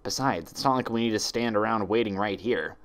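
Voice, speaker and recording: A man's voice: fairly low pitch, a little fast, natural voice. A man voicing a cheeky, eager young male dragon sidekick in a storybook, casual and boyish.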